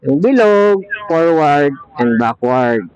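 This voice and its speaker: Slow-talking Filipino man, casual banter. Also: a little high, lively intonation, darkish tone, lo-fi sound. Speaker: vlogger. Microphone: helmet intercom headset.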